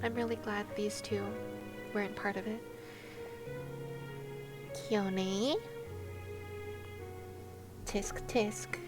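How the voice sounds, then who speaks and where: A young adult woman chatting casually as a game streamer, with an expressive, giggly, reactive way of talking. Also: medium pitch, drawn-out words, long pauses. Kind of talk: casual game livestream chat. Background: faint game music.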